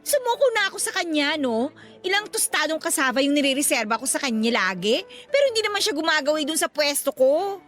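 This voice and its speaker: A sassy young Filipina market vendor, high-voiced and very animated. She teases and gossips with brash exclamations in radio drama style, over faint background music. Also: quick pace. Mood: resigned, gossipy. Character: brash, teasing young woman vendor, rival turned matchmaker.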